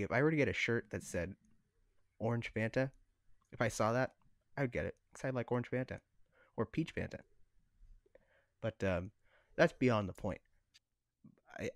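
Guy cohosting a podcast, average pitch, very dry close sound, kinda hesitant chatty delivery. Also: no room sound. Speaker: podcast co-host. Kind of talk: casual chat.

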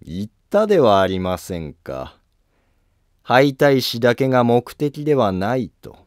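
A man playing a coolly polite, scheming Japanese court noble with a calm, formal, deliberate delivery in a history drama. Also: medium pitch, dry recording, studio quality. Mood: cool, resolute.

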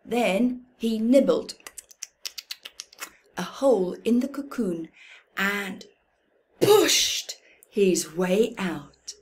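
A middle-aged woman reading a picture book to young children in an expressive, deliberate way, with dramatic pauses and hushed emphasis. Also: medium pitch. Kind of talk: slow children's picture-book read-aloud. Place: indoors in a room at home.

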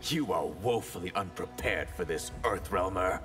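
A proud water mage from a fighting game. His voice is on the low side, grave and formal, with humorless menace. Music runs behind him. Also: animated, moderate pace. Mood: haughty menace. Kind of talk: video game fight-intro banter.

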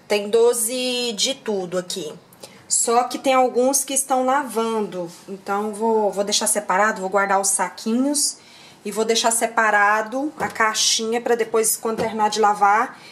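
A woman in her thirties with a Brazilian Portuguese accent, chatting to viewers in a casual home vlog while she cleans her kitchen, recorded on a handheld camera. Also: mid-range voice. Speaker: vlogger. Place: home kitchen, indoors, medium-sized. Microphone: handheld camera, moved around the room.

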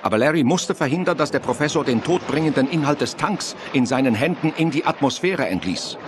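A man's voice: a little high, animated, fairly quick, background noise. A man narrating a horror radio play in a dramatic scripted style, with music and effects behind him.

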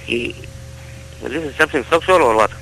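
A man's voice: medium pitch, normal speed, gravelly, pushed and bright, a little hiss or hum. A man on a phone call speaking non-native English, hesitant and often asking to hear things again. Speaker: prank call recipient. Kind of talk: phone conversation.

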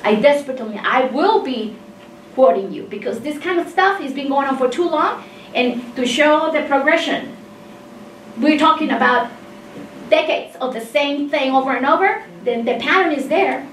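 A woman in her fifties from Mexico speaks up from the audience of a seminar, emphatic and insistent, driving her points home. Her voice sits a little high and reaches the camera from a distance. The room gives it a roomy echo with a faint hiss behind. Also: expressive, moderate pace, fairly bright tone. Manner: emphatic, insistent, gestures while talking. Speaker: engineering faculty member in audience. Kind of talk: impassioned audience comment in discussion. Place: seminar room with tables, indoors. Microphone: far room camera mic.

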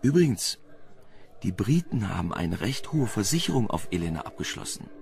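Crime radio play: suave, sardonic reporter, lowish gravelly voice, music underneath. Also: male voice, sing-song, moderate pace, a little mellow, a little hiss or hum. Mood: dry, informative. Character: wry, flirtatious investigative male journalist. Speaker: radio drama actor.

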